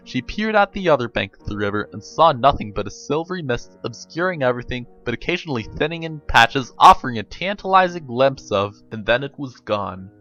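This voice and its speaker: A man giving a dramatic reading of a story, with emphatic phrasing and deliberate pauses. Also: mid-range voice, animated, a bit bright, highs cut off, soft background music. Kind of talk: dramatic audiobook-style narration with pictures.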